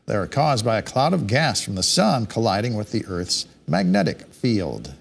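A man reading local news stories as a television studio anchor, in a steady, measured delivery. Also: lowish voice, creaky, breathy edge.

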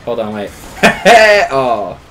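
A man's voice: mid-range voice, very animated, very slow, fairly bright tone, slight background noise. A man playing a video game and chatting with friends, joking casually in a crude, goofy way. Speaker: video game player in a group let's play.

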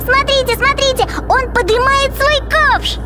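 A woman voicing a curious, excitable little girl sidekick in a children's cartoon, exclaiming and asking questions. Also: very high-pitched, measured pace, over music. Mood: excited. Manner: exclamatory, inquisitive. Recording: noisy engine sounds behind.

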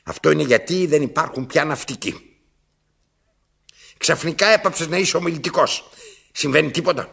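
A raspy, imperious old blind tyrant. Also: male voice, on the high side, fairly bright tone, exaggerated voice. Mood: demanding. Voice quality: raspy, gravelly. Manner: imperious, dramatic, pausing and repeating phrases. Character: domineering, self-pitying old blind man in an armchair. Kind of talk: theatrical radio drama acting.

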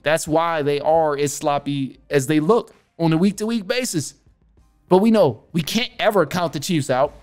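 A man's voice: fairly high pitch, darkish tone. A young man hosting a sports podcast from a home studio, reacting emphatically with lots of restarts and repeated phrases. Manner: emphatic, repetitive, stammering restarts. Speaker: podcast host. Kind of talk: casual sports commentary. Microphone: studio mic with headphones.

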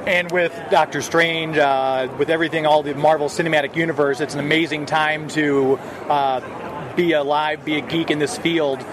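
Man, eager interviewer, slightly higher voice, loud convention noise behind. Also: fairly even intonation, moderate pace. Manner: enthusiastic, chatty questioner. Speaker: podcast host interviewer. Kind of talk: casual interview. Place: convention floor.

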